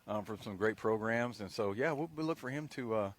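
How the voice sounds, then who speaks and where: Color man, deep-ish voice, measured and folksy delivery. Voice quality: low voice. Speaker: color commentator. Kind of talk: local radio football commentary.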